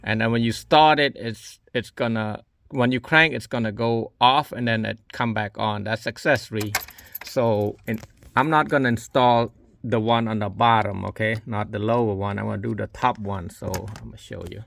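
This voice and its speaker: A man gives informal, halting hands-on instructions as an installer demonstrating a repair. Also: on the low side, steady tone, creaky, a bit bright, slight background noise. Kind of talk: hands-on how-to narration.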